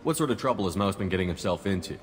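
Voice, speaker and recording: Quick, low-voiced military commander. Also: male voice, lively intonation. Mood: inquiring. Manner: direct, businesslike, terse questions. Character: decisive, principled male military commander hero. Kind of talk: video game voice acting.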